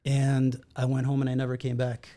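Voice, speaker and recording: Mellow-voiced man telling his story. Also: medium pitch, monotone, brisk. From Israel. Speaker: podcast guest. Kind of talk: conversational storytelling. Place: podcast studio in a martial arts gym. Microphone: boom-arm studio mic with headphones.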